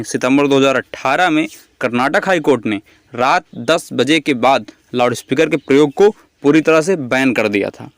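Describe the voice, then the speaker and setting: A man's voice: fairly high pitch, no room sound, studio quality. A man presenting an educational explainer, speaking didactically and restating terms in other words as he goes. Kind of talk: explanatory lecture on current affairs.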